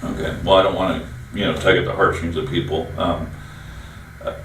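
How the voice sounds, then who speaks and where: Host: man, low voice, friendly and rambling, roomy far-off sound. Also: level tone, a little fast, a bit bright, a little hiss or hum. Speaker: interview host. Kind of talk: local business interview show.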